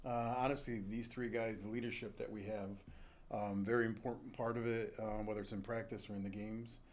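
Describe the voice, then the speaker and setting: A middle-aged man, a college soccer coach, answering in a measured way with fillers in a studio. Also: on the low side, little pitch movement. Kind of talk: casual interview answers.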